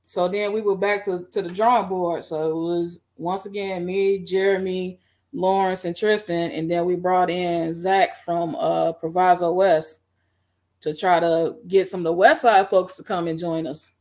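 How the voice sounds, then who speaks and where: A middle-aged woman on a video call, recounting her band history in a relaxed, rambling way with many fillers. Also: lowish voice, unhurried. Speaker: guest band musician. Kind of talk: casual interview. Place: home room.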